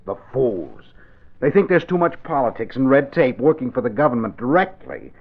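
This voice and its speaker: An actor playing a scheming, polite male secretary in an old-time radio drama, with a smooth, deliberate delivery. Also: medium pitch, big pitch swings, narrow, tinny audio, full character voice. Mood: scornful. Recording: thin, band-limited vintage radio recording.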